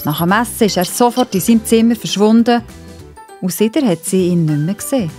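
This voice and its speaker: A woman's voice: mid-range voice, lively intonation, a little fast, darkish tone, faint music behind. A woman with a Swiss German accent narrating a scripted story for children.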